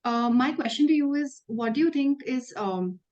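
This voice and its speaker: A woman's voice: sits high, lively intonation, moderate pace, a little mellow, dull audio. A young woman in her twenties, a student interviewer speaking politely and a little hesitantly on a video call.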